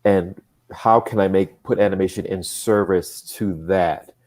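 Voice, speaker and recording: A man speaking as a guest on a casual live show, thinking aloud with many restarts and bursts of faster talk. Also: low-pitched. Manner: thoughtful and rambling, many restarts, speeds up when excited. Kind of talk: casual livestream chat.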